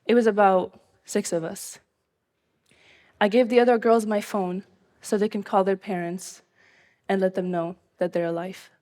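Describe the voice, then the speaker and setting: Young adult woman from Syria, first-person live storyteller, earnest, measured delivery, middle pitch. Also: fairly even intonation, dark tone.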